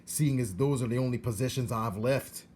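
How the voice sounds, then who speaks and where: A coarse, grasping peasant uncle from a storybook, played by a man, full of indignant protest. His pitch is pushed up, over a noisy recording. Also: a little fast, darkish tone, natural voice. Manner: exclaiming, protesting. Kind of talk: storybook character reading.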